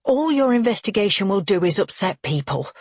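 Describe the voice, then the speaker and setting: An exasperated, angry man from an audiobook drama acted out by a woman. He snaps forcefully and accusingly, with a husky edge, in a very close, dry sound. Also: fairly high pitch, expressive, slow, no room sound, pitched above the performer's own voice. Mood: angry, snapping. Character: angry, exasperated, snapping grown man, narrator's brother.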